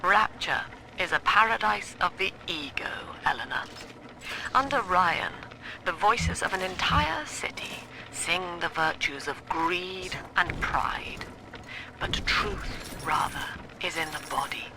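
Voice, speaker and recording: An actress playing a cold, lofty middle-aged British cult leader and psychiatrist in a video game, with measured, formal, clinical preaching. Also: female voice, fairly low pitch, lively intonation, vocal fry, noisy background, exaggerated voice. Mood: grave, didactic. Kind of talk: scripted video game villain monologue.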